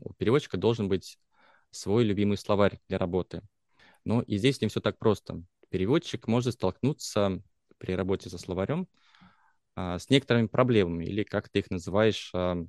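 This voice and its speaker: Deep-voiced male podcast host, measured hesitant questions, dry close mic. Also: darkish tone, dry recording. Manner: careful, hesitant questioning with pauses. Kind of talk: interview questions.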